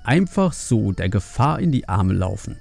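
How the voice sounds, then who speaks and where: A man's voice: lowish voice, very animated, moderate pace, vocal fry, a little mellow, soft background music, heavily acted. A man voicing an angry, stubborn, overprotective middle-aged pandaren father in a fantasy audiobook, vehement, snappish and scornful. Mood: bitter, reproachful.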